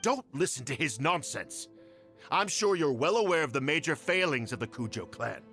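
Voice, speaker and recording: A fawning, flustered clan official. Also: male voice, fairly high pitch, animated, normal speed, pushed and bright, soft background music. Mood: evasive, fawning. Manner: nervous, stammering, ingratiating. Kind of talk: video game voice acting.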